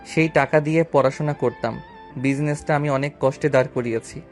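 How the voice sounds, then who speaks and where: A man's voice: medium pitch, steady tone, brisk, soft background music, natural voice. A man acting a bitter young self-made businessman, a wounded lover, in a romantic audio story. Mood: bitter, hurt.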